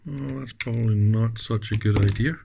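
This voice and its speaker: A man giving casual, unscripted hands-on commentary as a teardown host, musing in a halting way and trailing off mid-thought. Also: lowish voice, steady tone, vocal fry, noisy background. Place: indoors at a workbench.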